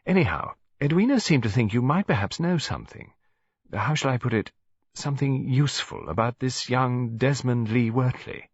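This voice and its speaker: A genteel English lady near seventy, as a male narrator puts her on, chatting and rambling politely with very lively, swooping pitch. The voice is a little husky, in a dull, close, dry audiobook recording. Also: normal speed, no room sound. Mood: hesitant, confiding. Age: older.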